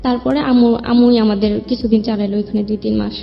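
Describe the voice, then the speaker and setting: A young woman with a Bangladeshi accent and a fairly high voice recounts her own life in loose, everyday speech. She rambles as an interview guest. The sound is somewhat dull, with music underneath. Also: fairly even intonation, fast talker, vocal fry. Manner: colloquial, rambling narrative. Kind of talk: personal storytelling in an interview. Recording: muffled lo-fi audio, music behind. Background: background music.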